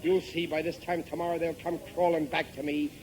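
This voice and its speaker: A man's voice: a little high, flat delivery, brisk, a little mellow, close to an everyday voice. An actor playing a stubborn, petty working-class family man, folksy and blustering, in an old-time radio sitcom. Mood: scheming, indignant. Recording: noisy vintage 1950s radio broadcast.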